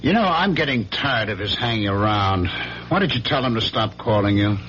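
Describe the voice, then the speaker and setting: An actor playing a brooding, jealous husband, narrating his memories in a measured, dramatic radio drama style. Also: on the low side, animated, a bit bright, a little hiss or hum. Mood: jealous, annoyed. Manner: measured, dramatic, ruminative delivery. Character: brooding jealous husband, a weary businessman recalling his past. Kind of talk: radio drama first-person narration and acting. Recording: dull vintage radio recording, music behind. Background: dramatic music.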